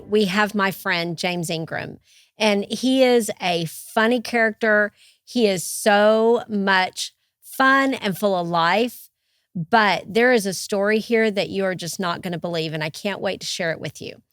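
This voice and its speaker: A woman's voice: medium pitch, unhurried, a bit bright, no echo, studio quality. A woman hosting a podcast, asking friendly and teasing questions. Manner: upbeat, teasing. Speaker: podcast host.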